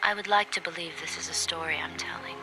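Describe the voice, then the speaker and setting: A woman's voice: low voice, steady tone, quick pace, close to an everyday voice. An actress playing a dazed, desperate captive mother in an audio drama, insistent and pleading. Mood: hushed, reflective. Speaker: actor. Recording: loud music under the voice.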